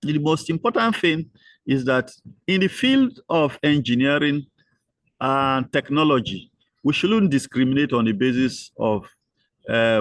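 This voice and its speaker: An older man answering interview questions, slow and halting with many fillers and restarts. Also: medium pitch, no echo. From Nigeria. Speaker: interview guest.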